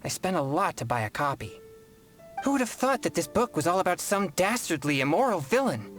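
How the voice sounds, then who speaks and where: A man's voice: high-pitched, very animated, brisk, a bit bright, soft background music. A man voicing a serious, polite teenage boy exorcist in a video game, speaking in a formal, earnest and courteous manner. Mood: indignant. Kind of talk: scripted video game voice acting.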